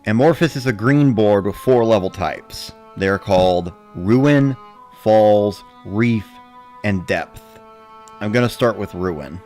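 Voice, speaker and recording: A man giving a measured, matter-of-fact first-person narration of a scripted story. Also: lowish voice, lively intonation, a little mellow, faint music behind. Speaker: narrator of a dramatic reading.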